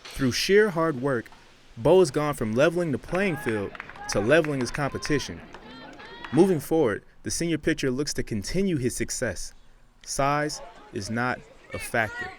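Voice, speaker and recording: A young man narrates a college sports feature in a measured, slightly dramatic read. His voice sits in an ordinary middle pitch. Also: expressive, slight background noise. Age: young adult. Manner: measured, dramatic voice-over delivery. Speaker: student sports reporter.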